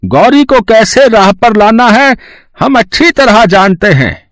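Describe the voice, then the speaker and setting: Casting: male actor for a pleading, deferential family member in a story drama, very lively high pitch, dry recording. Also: unhurried, no room sound, heavily acted. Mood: placating. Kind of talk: Hindi moral story dramatization.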